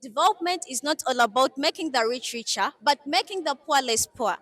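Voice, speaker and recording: Cast a young woman, head girl, high and bright, speaking formally. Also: animated, a little fast. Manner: soft-spoken in answers, formal oratory in speech.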